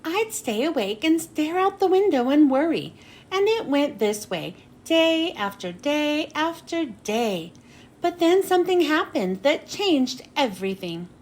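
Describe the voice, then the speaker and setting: A woman narrating a children's storybook expressively, with dramatic pauses and drawn-out phrase endings. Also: sits high, very animated, darkish tone. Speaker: children's book read-aloud host. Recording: noticeable background noise.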